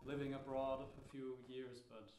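A quiet, soft-spoken man from Germany, co-hosting an interview. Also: mid-range voice, flat delivery, a little slow. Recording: echoey room, faint noise, compressed.

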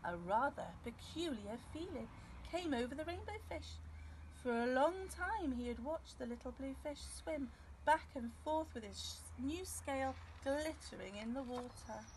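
A middle-aged woman reading a storybook aloud to young children outdoors, expressive and deliberate. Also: high-pitched, low-quality recording. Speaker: nursery early years co-ordinator reading a story. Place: outdoors in a grassy garden by a field. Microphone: camera several metres away. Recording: outdoor ambient noise.

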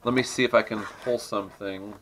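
A man's voice: on the low side, steady tone, a little fast, fairly bright tone, a little hiss or hum, poor audio. A middle-aged man chatting casually and teasing while opening trading card packs at his desk at home. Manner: chatty, teasing, laughs often. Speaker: host of a card-opening channel. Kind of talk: casual card-breaking commentary.

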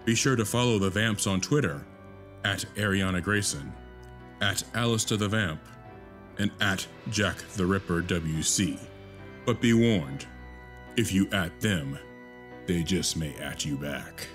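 Male announcer, deep voice, scripted podcast outro, credits read, music underneath. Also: a little slow, fairly bright tone.

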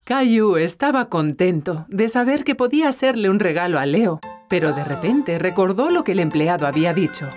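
A woman voicing the gentle storyteller of a children's cartoon, narrating with a Latin American Spanish accent. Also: fairly low pitch, sing-song, moderate pace, few pauses. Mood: gentle, storytelling. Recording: music behind the voice.

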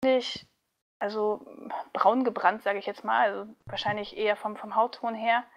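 A woman's voice: mid-range voice, fairly even intonation, normal speed, muffled recording. A woman who works as a voice actress, chatting casually and thoughtfully as an interview guest, with a giggly streak.

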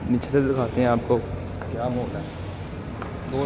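A man's voice: mid-range voice, level tone, moderate pace. A young man with a Pakistani Punjabi accent chatting casually as a vlogger, repeating phrases for emphasis, on a handheld phone. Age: young adult. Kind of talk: casual walk-around vlog chat. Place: on the move through a mall, terrace and outdoors. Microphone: handheld phone at arm's length. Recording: dull phone audio with loud background noise.

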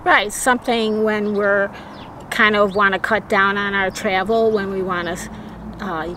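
A woman's voice: mid-range voice, steady tone, a little slow, sharp tone, a little hiss or hum. An older woman who makes RV travel videos, talking casually to the camera outdoors. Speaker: RV travel vlogger. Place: outdoors at a campground.

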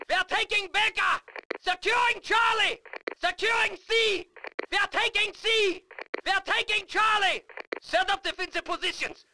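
An actor playing a fierce, fanatical German army officer who barks commanding battle announcements in a German accent for a game. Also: male voice, very high voice, background noise. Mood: triumphant, commanding. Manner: barking, emphatic, commanding. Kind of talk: shouted military game announcements.

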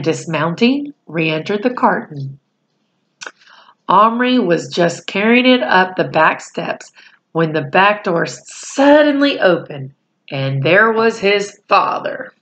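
A woman in her forties reading a storybook aloud to children, deliberate and pausing phrase by phrase, with explanatory asides. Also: medium pitch, expressive, a bit bright. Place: small room at home, indoors.

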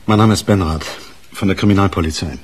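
Low-voiced, curt police detective. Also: male voice, quick pace, darkish tone, husky. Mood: businesslike. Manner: curt, probing, businesslike. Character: weary, brusque male police detective chief. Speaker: actor in a radio crime drama. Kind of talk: naturalistic German radio play acting.

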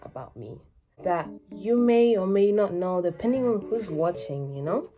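A woman's voice: on the low side, lively intonation, normal speed, dull audio, music in the background. Chatty teen girl vlogging from her bedroom. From Spain. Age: teens. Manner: chatty, self-mocking asides. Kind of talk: casual talk to camera. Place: small bedroom, indoors. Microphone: phone or webcam at arm's length.

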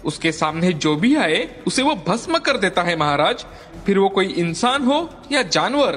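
A man voicing a deferential, alarmed royal soldier in formal animated story dialogue. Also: high voice, measured pace, full character voice. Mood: alarmed report. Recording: long hall reverb, faint music.

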